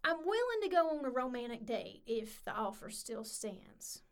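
A woman's voice: on the high side, very animated, normal speed, slight background noise. A woman playing a brash, bossy, jealous young-spirited Southern woman with a hot temper in an audio drama. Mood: grudgingly confessing.

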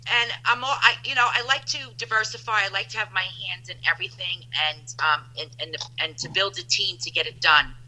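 A woman's voice: medium pitch, a little fast, few pauses, bright, forceful tone, rough recording. A woman in her fifties, a podcast guest talking in an upbeat, rambling way with many restarts. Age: 50s. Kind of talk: casual chat.

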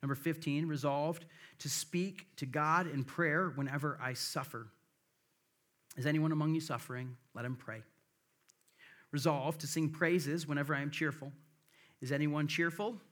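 A middle-aged man from the American Midwest with a Midwestern accent slightly softened by the South, preaching a sermon, reading scripture in an earnest way and tossing in "right?" to the congregation. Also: fairly high pitch, fairly even intonation, moderate pace. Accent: Midwestern, a little softened by the South. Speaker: pastor.

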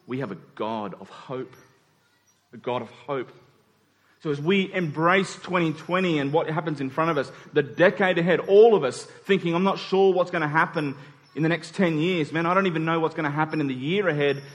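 Man, high-pitched voice, preacher, conversational sermon, repeated key phrases, rhetorical questions to congregation. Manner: rhetorical check-ins with the congregation; emphatic repetition of key phrases.

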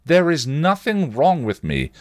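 A defiant young slayer woman voiced by a man reading a story. She is curt and challenging, with lively jumps in pitch and a dry, close sound. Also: measured pace, no room sound. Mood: indignant. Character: defiant, accusing young female vampire slayer.

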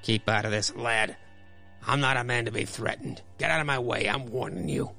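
A man's voice: mid-range voice, normal speed, gravelly, bright, forceful tone, soft background music. A man playing a large, threatening tavern brawler with a blunt, menacing manner in a radio play.

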